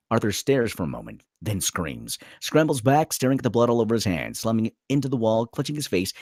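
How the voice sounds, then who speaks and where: A man's voice: on the low side, a little mellow, dry recording, clean studio sound. A man reading screenplay stage directions aloud as a dramatic narrator at a table read.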